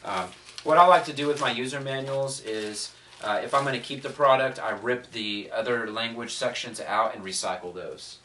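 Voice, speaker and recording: Male product reviewer, middle-pitched, casual and conversational, recorded at a distance with faint noise. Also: moderate pace, bright, forceful tone. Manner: thinking aloud, conversational.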